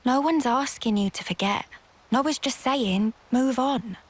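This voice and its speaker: Frank, tough young fighter. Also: female voice, on the high side, a little slow. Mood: frank, gentle. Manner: frank, informal. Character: blunt, teasing, tough but caring young woman fighter. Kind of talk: video game cutscene acting.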